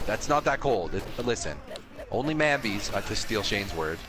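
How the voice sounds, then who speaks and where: A man's voice: fairly low pitch, sing-song, normal speed. A young man co-hosting a podcast, chatting casually while playing a video game, rambling with lots of restarts. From Canada. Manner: rambling, with many restarts and fillers. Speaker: podcast co-host. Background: game music.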